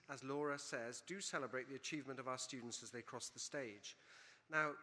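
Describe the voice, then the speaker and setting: Welsh-accented middle-aged man, bright voice, playful, emphatic ceremonial speech, booming hall reverb. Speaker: university provost presiding over graduation. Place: large hall at a graduation ceremony.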